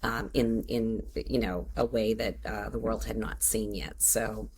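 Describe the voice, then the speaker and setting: Very low-voiced woman in her fifties. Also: fairly even intonation, measured pace, slight background noise. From Boston area. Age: 50s. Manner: warm storytelling, frequent fillers and restarts. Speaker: guest entrepreneur. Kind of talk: casual interview chat.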